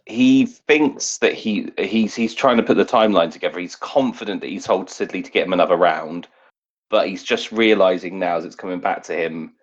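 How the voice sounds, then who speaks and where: A man playing a tabletop roleplaying game, narrating his character in a halting way and often reworking his phrases. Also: deep voice, slightly rough. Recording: dull, lo-fi recording.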